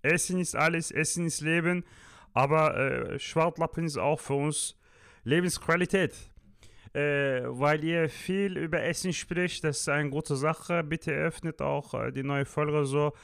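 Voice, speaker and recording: A man's voice: a little high, fairly even intonation, unhurried, creaky, fairly bright tone, clean tone, no echo, studio quality. A man doing a solemn, food-loving older man.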